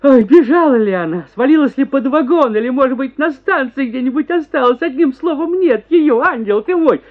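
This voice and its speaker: A man's voice: very high-pitched, normal speed, voice pushed up. Guy playing a henpecked whiny husband in a radio story, voice swinging all over, kinda muffled recording. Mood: gleeful, excited. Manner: plaintive grumbling that bursts into excited exclamations. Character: henpecked prosecutor, exasperated, whining, self-pitying husband. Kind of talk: theatrical radio story reading.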